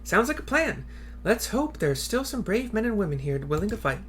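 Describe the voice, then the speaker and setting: A man's voice: high-pitched, sing-song, normal speed, a bit bright, slight background noise, pitched above the performer's own voice. A man voicing an earnest, eager young woman resistance fighter in a fantasy game. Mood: hopeful.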